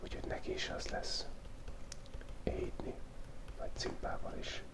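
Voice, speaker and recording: A man whispers a playful, rambling, hesitant ASMR chat as host of a drawing channel, while he has a cold.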